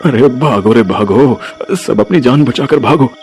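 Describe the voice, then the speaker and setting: A man's voice: mid-range voice, measured pace, a little mellow, natural voice. Man as anxious village husband, melodramatic, exclamatory, cartoon storybook acting, music underneath. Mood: wailing panic. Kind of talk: animated Hindi storybook acting. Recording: music behind the voice.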